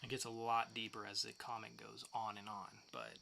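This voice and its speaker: A man's voice: lowish voice, flat delivery, brisk, gravelly, pushed and bright, slight background noise. A man co-hosting a podcast in casual banter, putting questions and moving the show along. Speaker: podcast co-host reviewing comics.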